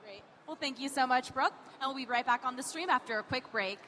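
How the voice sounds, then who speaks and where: A woman's voice: high-pitched, fast, pushed and bright, slight background noise. A woman hosting on-floor interviews at an event, upbeat and polished. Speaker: event reporter host.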